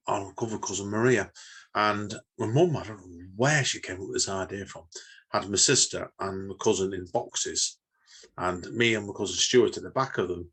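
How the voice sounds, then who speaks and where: Manc guy podcast host, deepish rough husky voice, rambles and keeps asking isn't it. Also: fairly bright tone. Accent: Northern English, Manchester area. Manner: rambling, repeating tag questions. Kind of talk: casual podcast chat.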